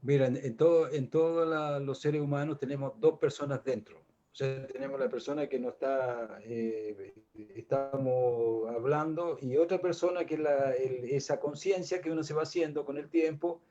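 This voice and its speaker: A man's voice: medium pitch, fairly even intonation, normal speed, warm tone, lo-fi sound. An older Chilean man talking in a reflective, rambling way, with many restarts and fillers. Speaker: guest artist. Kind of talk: casual panel discussion.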